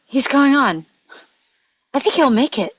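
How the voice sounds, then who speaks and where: A woman's voice: high-pitched, expressive, normal speed, muffled recording. A woman playing an earnest, curious young boy hero in a script table read. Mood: tense, watchful.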